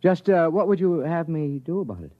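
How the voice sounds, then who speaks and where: A man's voice: a little high, lively intonation, brisk, natural voice. An actor playing a troubled, patient father in an old-time radio drama, with an American accent and a hesitant, earnest way of talking. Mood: fond, patient. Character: troubled father, patient and weary, confiding his story. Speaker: lead character and narrator. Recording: dull old radio recording.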